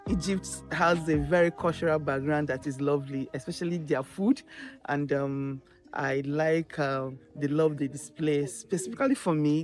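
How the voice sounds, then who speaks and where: A woman's voice: sits low, darkish tone. A woman from Nigeria, a graduate student, speaking with gushing enthusiasm and many fillers. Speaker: master's student interviewee. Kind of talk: casual on-camera answers.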